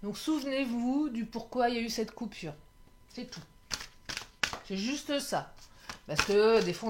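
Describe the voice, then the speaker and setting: Hoarse, chatty woman reading oracle cards. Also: a little high. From France. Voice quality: lively, chatty, laughs often, self-corrects. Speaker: tarot and oracle card reader. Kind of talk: casual card reading monologue to viewers. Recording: faint background noise.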